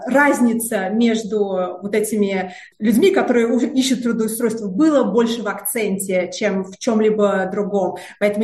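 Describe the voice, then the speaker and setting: A woman researcher answering interview questions in a hesitant way, with many filler sounds and repeated words. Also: medium pitch. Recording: roomy echo.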